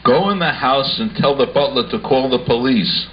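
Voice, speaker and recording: Puppet show rich gentleman played by a man: medium pitch, formal, authoritative, muffled recording. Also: normal speed, close to an everyday voice. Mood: commanding. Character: commanding, formal, grateful wealthy gentleman. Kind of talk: amateur puppet show acting. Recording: muffled home recording with hiss.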